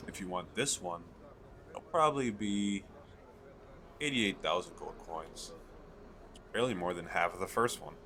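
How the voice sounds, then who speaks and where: A low, deep male fantasy shopkeeper, played by a man, talks straight to the listener in an ASMR roleplay. He is an eager, chatty salesman who coaxes and drops in hesitant asides. The recording has clear background noise. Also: normal speed, long pauses, pushed and bright. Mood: proud, enthusiastic. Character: eager, chatty male fantasy merchant selling magical crystal castles.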